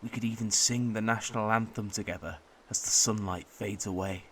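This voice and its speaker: Homesick man in his early twenties played by an actor, lowish voice, wistful radio play monologue, faint noise behind. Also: steady tone, a little fast, fairly bright tone, natural voice, rough recording. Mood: warmly nostalgic. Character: wistful, homesick twenty-two-year-old man visiting home. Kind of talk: reflective radio drama monologue.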